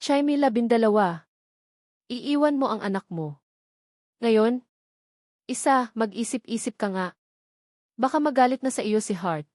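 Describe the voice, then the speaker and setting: A woman's voice: fairly high pitch, lively intonation, a little slow, pauses often, darkish tone, no room sound, studio quality. A woman voicing a gossipy, bossy but loyal best friend with slangy, urgent delivery in a story narration. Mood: scolding. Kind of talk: dramatized novel narration.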